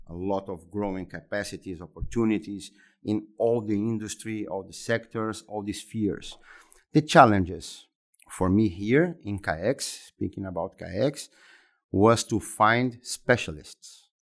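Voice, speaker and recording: A man in his fifties from Brazil, speaking English with a Brazilian Portuguese accent as an interview guest, talking haltingly and often repeating words and restarting phrases. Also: fairly low pitch. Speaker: interview guest, exhibition center executive. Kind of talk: conversational interview answers.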